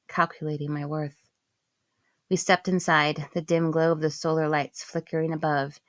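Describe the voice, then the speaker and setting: A woman reading scripted first-person literary narration in a measured, expressive way, with phrases falling away softly. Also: deep voice, no echo. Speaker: audiobook narrator and author.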